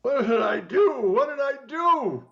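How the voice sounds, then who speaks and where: A hapless, edgy fellow acted by a man in a noir radio comedy, with a very high voice that swings up and down. The audio is dull and muffled. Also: expressive, measured pace, gravelly, slight background noise, full character voice. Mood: bewildered. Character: jittery man constantly on edge, hapless suspect.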